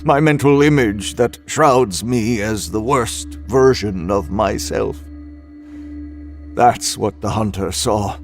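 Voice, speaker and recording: Brief: male horror narrator, unhurried and dramatic, mid pitch, over music. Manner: measured, dramatic, deliberate. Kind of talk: scripted first-person horror narration. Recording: music bed underneath.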